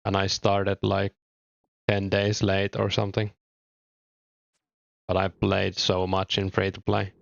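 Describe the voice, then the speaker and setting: A young man from Finland with a mild Finnish accent, chatting casually with viewers while streaming a game, relaxed and thinking aloud with small hesitations. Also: low voice, slow, vocal fry, long pauses, no echo, lo-fi sound. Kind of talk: casual chat reading viewer comments.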